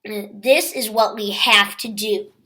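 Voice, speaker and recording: A woman's voice: mid-range voice, fairly even intonation, takes it slowly, bright, forceful tone, slight background noise. A woman voicing a small, earnest young male duck in a storybook, eager and wide-eyed. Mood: determined.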